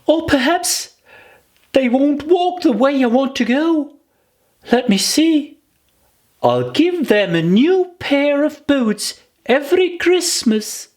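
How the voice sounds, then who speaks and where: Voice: high voice, lively intonation, slow pace, darkish tone, voice pushed up. A storybook narrator voicing a fretful, chatty, prim young girl who muses aloud to herself in exclamations. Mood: fretful, whimsical.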